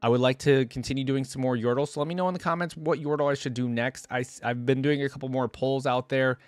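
A young man talks casually as a reaction video host, in an average-pitched voice. His delivery is informal and rambles along. He is close to a desk microphone, with very little room sound. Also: fairly even intonation, fast talker, no echo, soft background music. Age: young adult. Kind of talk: casual chat to camera. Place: small indoor gaming setup. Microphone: close desk microphone on arm.

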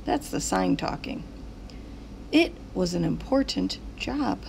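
A woman reading a picture book aloud to children in an expressive, deliberate storytelling style, like a teacher. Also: medium pitch, vocal fry, a little hiss or hum. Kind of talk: animated children's read-aloud narration.

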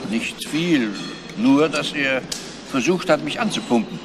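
An actor playing a decent, guarded older retired schoolteacher and chess player in a German crime radio drama. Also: male voice, a little high, animated, measured pace. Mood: guarded, frank. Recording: noisy radio drama with background ambience.

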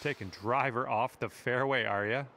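A man doing a measured golf announcer in a sports video game, calling distances and putts in an even, unhurried voice. His pitch sits in the ordinary middle for a man, and his delivery stays composed and scripted throughout. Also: very noisy, close to an everyday voice. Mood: calm.

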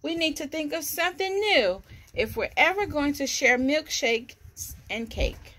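Woman as eager high-voiced little chimp. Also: animated, a little slow, bright, forceful tone, exaggerated voice. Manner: eager, exclamatory. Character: eager, friendly little chimps full of ideas.

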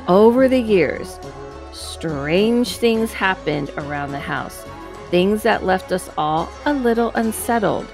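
A woman in her thirties reading a paranormal story aloud, with casual asides, close to the mic in a dim room. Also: on the low side. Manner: slow, measured reading with asides. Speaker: host reading a guest's story. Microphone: headset and studio microphone close up.

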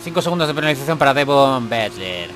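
A man's voice: mid-range voice, animated, fast, a bit bright, faint music behind. A man giving casual, chatty gameplay commentary who swears and grumbles when things go wrong. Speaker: gaming YouTuber.

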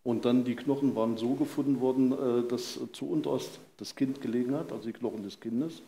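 An older man, a forensic pathologist, explaining casework on a live stage in a matter-of-fact, halting way. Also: medium pitch, gravelly, mellow. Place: vaulted venue, live audience on stage. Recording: roomy live stage sound, faint noise.

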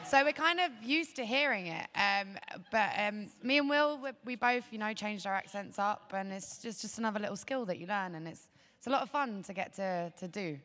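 A woman's voice: mid-range voice, animated, brisk, a bit bright. A woman actor answering panel questions casually, blunt and self-deprecating. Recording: faint background noise.

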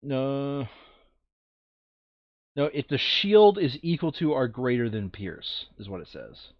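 A man's voice: medium pitch, expressive, slow, no echo, lo-fi sound. A man playing a board game over voice chat, thinking aloud casually and muttering counts and rules to himself.

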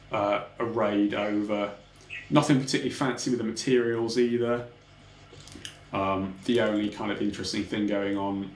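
A young man casually talking through his 3D animation work in a tutorial, full of "kind of" and "um" as he clicks through his setup at a desk. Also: lowish voice. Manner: informal, technical, frequent "kind of" and "um" hedges. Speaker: 3D artist explaining his work. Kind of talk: relaxed screen-recorded tutorial walkthrough. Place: small home office. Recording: roomy home office with faint background hum.

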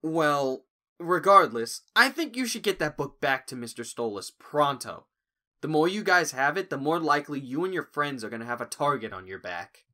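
A man's voice: on the high side, animated, normal speed, sharp tone. A man playing a nervous but clever young human in a cartoon audio drama, hesitant and wry. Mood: confident.

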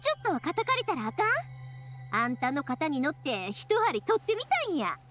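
Game heroine: young, cheerful Kansai accent, easygoing and curious, fairly high pitch. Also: female voice, sing-song, normal speed. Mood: curious, admiring. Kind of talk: Japanese video game voice acting. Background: background music.

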